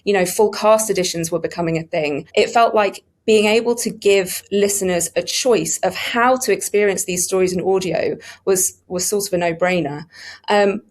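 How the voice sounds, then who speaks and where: A woman speaks as an interview guest in a conversational way. Her voice sits at a middle pitch, and she talks with enthusiasm, putting emphasis on her points. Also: normal speed. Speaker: audiobook publishing interviewee.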